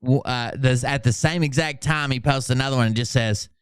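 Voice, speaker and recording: A man's voice: medium pitch, a little fast, clean tone, no echo, studio quality. A man giving casual, mocking commentary as a channel host, sarcastic and given to swearing. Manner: sarcastic, profane.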